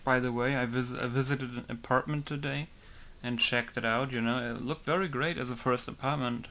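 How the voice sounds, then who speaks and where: A man's voice: mid-range voice, moderate pace, a little hiss or hum. A young man, a game modder chatting casually while testing things on screen. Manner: relaxed, chatty, thinking aloud while testing. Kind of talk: casual screen-recorded commentary.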